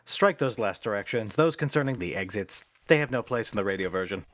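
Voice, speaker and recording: An actor playing a pompous, bossy and exasperated theater manager in a radio play, declaiming theatrically. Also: male voice, fairly low pitch, lively intonation, a little fast, fairly bright tone. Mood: impatient, commanding.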